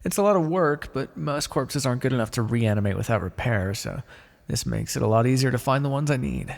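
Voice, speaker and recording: A man's voice: mid-range voice, expressive, fairly quick, gravelly, big-room sound. A man playing a pragmatic, chatty necromancer in a casual, wry ASMR fantasy roleplay. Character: pragmatic, chatty male necromancer, matter-of-fact and ambitious. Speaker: roleplay voice actor. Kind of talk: ASMR fantasy roleplay, one-sided dialogue.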